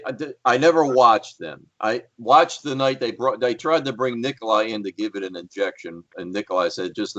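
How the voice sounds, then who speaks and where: A man's voice: medium pitch, a bit bright. Blunt, profane man on a dull line. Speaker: guest, former wrestler and actor. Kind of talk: casual podcast panel chat.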